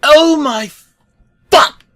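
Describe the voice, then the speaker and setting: A man voicing an excitable, childlike talking toy truck in a storybook parody, in a high, squealing voice. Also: slow, heavily acted. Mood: panicked.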